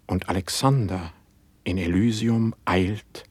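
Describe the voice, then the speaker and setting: Dreamy young poet in an old verse radio play, husky and close-dry, reverent, declamatory. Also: male voice, low voice, takes it slowly, darkish tone, no room sound, full character voice. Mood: rapt, yearning. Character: dreamy young male poet, rapt and humble.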